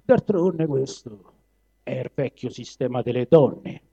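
A man's voice: mid-range voice, expressive, takes it slowly, creaky, mellow, breathy edge, heavily acted. A man voicing a proud, bitter caged lion in dramatic dialect verse, grumbling and declaiming with a Roman accent. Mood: aggrieved. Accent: Roman Italian dialect. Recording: dull lo-fi live recording.

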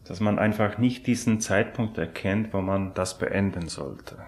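A man's voice: lowish voice, a little slow, a little mellow. A man giving a reflective personal account in searching, hesitant phrases. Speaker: interviewee. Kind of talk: reflective personal account in a radio documentary drama. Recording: music behind the voice.

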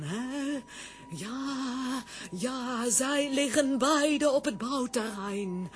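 High-voiced theatrical fortune teller. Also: female voice, full character voice. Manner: slow, mysterious, drawn-out. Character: theatrical, money-minded female fortune teller. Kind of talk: children's radio play acting.

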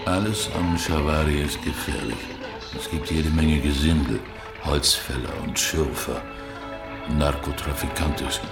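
Extremely deep, hulking arms dealer. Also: male voice, fairly even intonation, a little slow, vocal fry, heavily acted. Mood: blunt, ominous. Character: huge, heavy male arms dealer, blunt and ominous. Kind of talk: radio play dialogue. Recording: loud music behind the voice.

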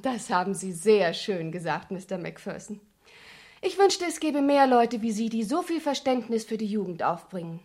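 A woman's voice: mid-range voice, big pitch swings, moderate pace, darkish tone. An actress playing a naive, cheeky teenage shop girl in a German radio crime drama, talking in a girlish, forthright way. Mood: cheeky, confident.

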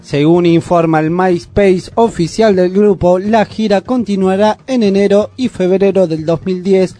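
Un conductor de radio argentino que lee las noticias del panorama informativo con ritmo ágil. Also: male voice, sits high, a little slow, warm tone, faint music behind. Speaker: radio news co-host. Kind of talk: news roundup reading.

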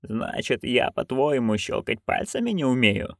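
A storyteller voicing a stubborn old witch woman who speaks curtly, slowly and quietly. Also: medium pitch, vocal fry, no echo, clean studio sound. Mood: stern. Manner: curt, flat, slow and quiet.

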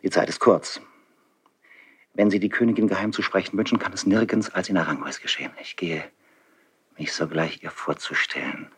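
A man's voice: low voice, normal speed, a bit bright, slightly rough, heavily acted. A man playing a noble, idealistic marquis and loyal friend in a classical German verse radio drama, declaiming earnestly and eloquently. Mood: earnest, discreet.

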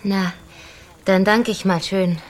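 A woman's voice: mid-range voice, steady tone, takes it slowly, close to an everyday voice. Actress as a timid, polite country woman. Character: shy, poor young country woman, polite. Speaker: actor in a radio drama. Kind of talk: radio drama acting.

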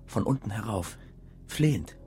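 An actor playing a haunted, dreamy hunter's son in a poetic radio monologue, absorbed and halting. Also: male voice, fairly low pitch, lively intonation, mellow, soft background music. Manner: absorbed, halting, broken-off sentences.

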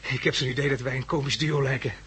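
A man's voice: medium pitch, steady tone, fast talker, fairly bright tone, lightly acted. An actor playing a sardonic, irritable male hospital patient in a 1960s Dutch radio drama. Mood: tense, wry. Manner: grumbling, sardonic, talking to himself. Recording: hissy 1960s radio recording.

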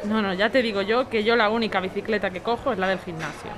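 A woman's voice: mid-range voice, fairly even intonation, fast, natural voice. A woman acting an indignant, snobbish, overqualified jobseeker of thirty-five in an audio drama. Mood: aggrieved. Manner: indignant, complaining. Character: indignant, snobbish 35-year-old overqualified woman seeking work. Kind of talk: audio fiction podcast acting. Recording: busy bar chatter behind.

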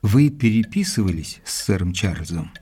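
Gentleman investigator, mellow, slightly husky, measured, polite, formal, audio drama with music behind. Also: lowish voice, big pitch swings, heavily acted. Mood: polite, probing. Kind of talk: dramatized audiobook narration and acting.

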